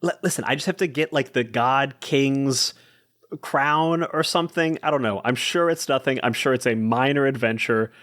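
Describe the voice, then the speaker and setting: A man's voice: medium pitch, normal speed, a bit bright, natural voice. A man playing an exasperated adventurer who rambles and pleads with sarcasm, in a tabletop game. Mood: exasperated, dismissive. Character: exasperated, fast-talking adventurer haggling over deals.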